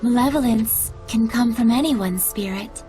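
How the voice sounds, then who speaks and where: A woman playing a gentle, wise spirit adviser in a video game, speaking formally and with measured earnestness. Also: a little high, soft background music, close to an everyday voice. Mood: earnest. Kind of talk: English video game dub acting.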